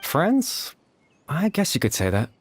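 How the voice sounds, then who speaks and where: A man playing a reserved, melancholy, courteous loner in a fantasy video game, speaking gently and reticently. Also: on the high side, wildly swooping pitch, measured pace, slightly rough, heavily acted. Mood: apologetic.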